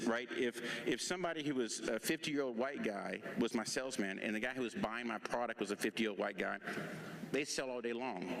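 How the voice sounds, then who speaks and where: A man's voice: mid-range voice, creaky, a bit bright. Emphatic man in an echoey hall. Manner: emphatic, repeats phrases for stress. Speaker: panelist. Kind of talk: political panel speech. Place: large indoor conference stage. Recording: echoey hall with long reverb.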